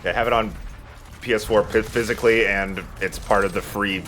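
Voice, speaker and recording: A man's voice: fairly low pitch, fairly even intonation, a little fast, noisy background. A man giving casual gameplay commentary as the host who is playing. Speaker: let's play host, playing the game. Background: video game music.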